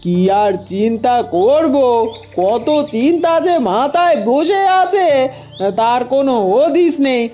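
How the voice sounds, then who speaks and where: High-voiced bossy scheming older mother-in-law played by an actress. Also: animated, slow pace, mellow, faint music behind. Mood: conniving. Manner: scolding, conniving. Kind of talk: Bengali cartoon fairy-tale dubbing.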